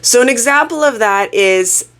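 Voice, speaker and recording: A woman hosting her own channel, sounding croaky from a cold as she talks through a topic in a casual, earnest, self-reflective way. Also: on the high side, lively intonation, moderate pace, a bit bright. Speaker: YouTube channel host. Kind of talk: casual talking-head explainer.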